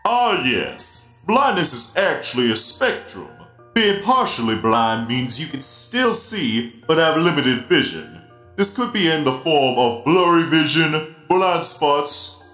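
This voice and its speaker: Give this giant animatronic spider DJ , played by a man, an unhurried, animated delivery. He is genial and playful, happy to explain things patiently. The voice sits in a roomy echo with music behind it, in comic dub style. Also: on the high side, exaggerated voice. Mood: genial, explanatory. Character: giant friendly half-blind animatronic spider DJ. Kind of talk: comic dub character acting.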